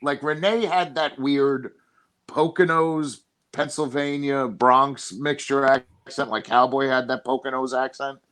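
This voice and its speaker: Podcast host guy, rambly, swears a ton, mid-pitched voice. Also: fairly even intonation, a little slow, a bit bright. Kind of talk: casual podcast chat.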